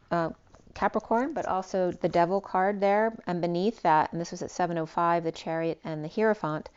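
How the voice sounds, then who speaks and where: A woman giving a spiritual tarot reading, rambling and free-associating with many restarts, her voice going hoarse. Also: fairly low pitch, a little mellow, muffled recording. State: losing her voice. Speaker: tarot reader.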